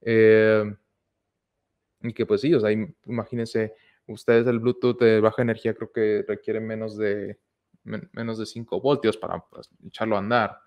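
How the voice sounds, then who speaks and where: A young man giving a casual technical talk at an online meetup, pausing often with fillers and restarts, recorded on a headset mic in a small room. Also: on the low side, level tone, highs cut off. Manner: hesitant, many fillers and restarts. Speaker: meetup speaker. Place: small room with whiteboard, indoors. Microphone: headset with boom mic.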